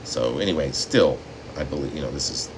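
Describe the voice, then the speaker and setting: A man reads his own poetry in a deliberate, dramatic way with pauses between phrases. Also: a bass voice. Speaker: poet reading own work. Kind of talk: poetry reading with spoken asides. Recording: steady room noise, air conditioning hum.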